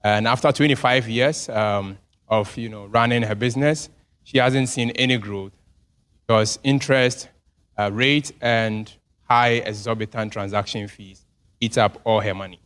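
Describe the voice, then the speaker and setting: A man from Ghana, a startup founder giving an earnest, personal pitch at a live event. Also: steady tone, a little slow, a bit bright, clear, resonant tone. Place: event venue.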